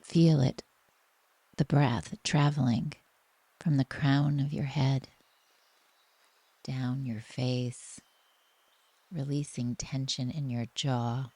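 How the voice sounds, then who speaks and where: Slow, soft, breathy woman guiding meditation. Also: extremely low pitch, steady tone, dry recording. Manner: soothing, gentle, unhurried. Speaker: guided meditation teacher. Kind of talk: guided relaxation meditation, yoga nidra.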